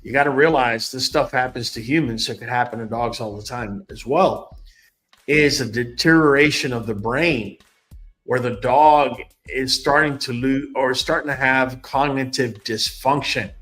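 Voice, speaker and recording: A man's voice: mid-range voice, normal speed, a bit bright, soft background music. A man hosting a casual livestream, talking straight to his viewers in a chatty way and often repeating words. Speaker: livestream host.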